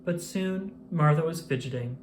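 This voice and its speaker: A narrator reading a children's picture book aloud in an expressive, measured storytelling style. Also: medium pitch, slight background noise.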